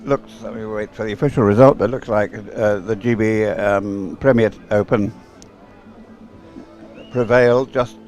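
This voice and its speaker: A man giving live, conversational race commentary in a halting way, repeating words as he goes. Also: fairly low pitch, steady tone, darkish tone, faint music behind. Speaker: sports commentator.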